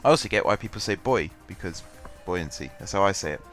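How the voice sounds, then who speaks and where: A man's voice: low-pitched, lively intonation, quick pace, fairly bright tone. A man with a cold and a stuffy, bunged-up voice, rambling through casual commentary on his game with lots of ums and restarts. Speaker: gaming channel host. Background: faint background music.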